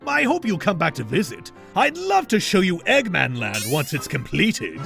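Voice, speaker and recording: A man voicing a gentle, timid amnesiac handyman in a fan comic dub, polite and soft-spoken. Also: high-pitched, huge pitch swings, moderate pace, vocal fry, heavily acted. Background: background music.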